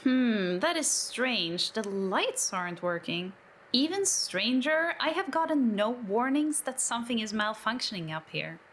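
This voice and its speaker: A woman's voice: on the high side, very animated, unhurried, a bit bright, slight background noise. A woman playing an eccentric, curious tech-priest scholar, affectionate and a little nervous, in a sci-fi audio drama. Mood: puzzled caution.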